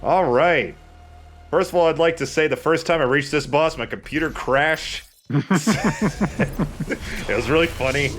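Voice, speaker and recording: A man giving casual, joking let's play commentary, wry and quick to swear. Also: fairly high pitch, a bit bright, faint music behind.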